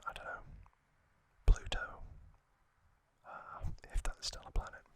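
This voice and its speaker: A man whispers in a breathy, entirely hushed ASMR style. His delivery is very slow, gentle and deliberate, with a crisp, sharply bright hiss to the whisper. He sounds like a host guiding listeners through trivia.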